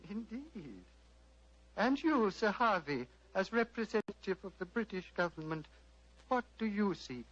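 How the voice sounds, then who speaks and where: An actor playing a serene, fatherly old Tibetan abbot in a measured, formal radio drama style. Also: high voice, sing-song, exaggerated voice. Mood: solemn questioning. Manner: measured, formal, gently paternal. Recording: muffled vintage radio, hum and hiss.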